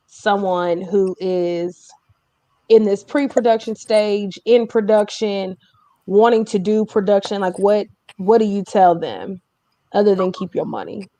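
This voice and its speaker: A woman's voice: mid-range voice, warm tone, dry recording. A woman hosting a casual interview, talking informally and putting questions to her guests in a conversational way. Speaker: host.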